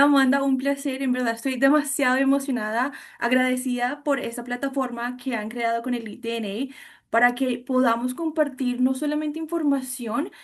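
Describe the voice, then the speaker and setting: A Colombian woman in her thirties talks as an interview guest, her voice fairly high. She speaks fluently and eagerly with a Colombian accent, mixing in English terms now and then.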